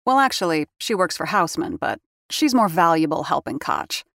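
Wry, lowish-voiced theatre woman. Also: big pitch swings, normal speed, creaky, fairly bright tone, no echo, studio quality, full character voice. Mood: knowing, wry. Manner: sardonic, knowing. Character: wry, knowing, teasing woman of a theatre troupe. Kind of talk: period audio drama dialogue.

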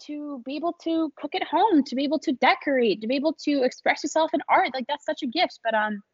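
A woman's voice: high voice, fast, no echo, lo-fi sound. A young adult woman, a small business founder, talking casually as an interview guest, chatty and laughing often with many fillers.

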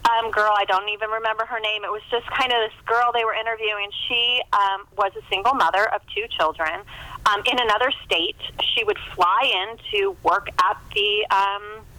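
Woman author chatting away in an interview, bright clear-cut voice, rambles a bit, dry close sound. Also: medium pitch, moderate pace, dry recording, low-quality recording. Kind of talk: casual interview chat about writing.

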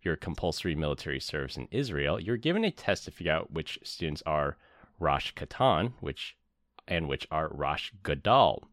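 A man hosting a podcast, reading the show intro in a measured, upbeat tone. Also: rumbling low, big pitch swings, fairly bright tone, dry recording, studio quality. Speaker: podcast host. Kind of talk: casual chat and scripted show intro.

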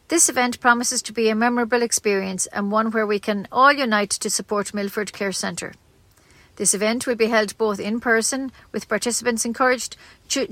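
Bright-voiced Irish woman reading local news. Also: on the high side, dry recording. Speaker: community radio newsreader.

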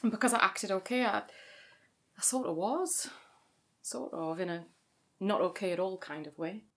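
A woman's voice: medium pitch, normal speed, lightly acted. An actress playing a practical, self-controlled grieving sister and mother in a naturalistic audio drama. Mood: pained, restrained. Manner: understated, dry humour. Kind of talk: naturalistic audio drama acting, comedy and pathos.

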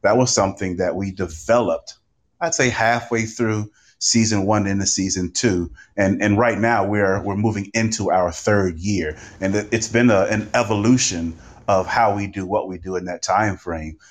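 A man on a podcast panel, speaking conversationally with deliberate, emphatic phrasing. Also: lowish voice, fairly even intonation. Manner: emphatic, deliberate phrasing with repeated words for stress.

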